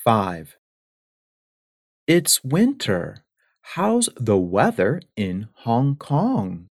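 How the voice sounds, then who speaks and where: A man reading English listening test passages in a slow, carefully spaced delivery. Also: mid-range voice, huge pitch swings, no echo, studio quality. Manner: clear, carefully spaced words. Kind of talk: slow, deliberate exam reading.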